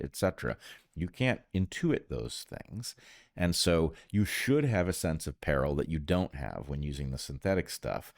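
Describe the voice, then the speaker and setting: Very deep-voiced man, dry close sound. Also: no echo. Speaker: podcast co-host, biologist. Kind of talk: explanatory conversational commentary.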